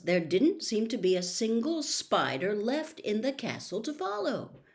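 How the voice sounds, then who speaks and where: A woman with a fairly low voice narrates a storybook. She takes her time, phrasing each sentence deliberately and with dramatic expression. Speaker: audiobook reader, host of the show.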